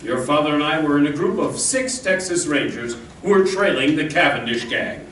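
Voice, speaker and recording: A man's voice: fairly high pitch, expressive, moderate pace, a bit bright. A man playing an earnest, fatherly cowboy hero telling his past in an old-time radio western. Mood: earnest, solemn. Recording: distant echoey live stage recording, faint noise.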